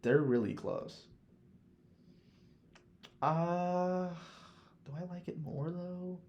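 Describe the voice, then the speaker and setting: A man talking casually as a podcast host, blunt and quick to swear as he gives his opinions. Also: high voice, darkish tone, dull audio. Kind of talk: casual chat, ranking films.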